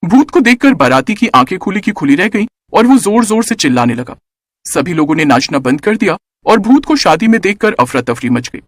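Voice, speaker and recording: A man narrates a children's story in a high voice with very lively, swooping intonation. The delivery is scripted and expressive, and the recording is close and dry. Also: fairly quick, no room sound.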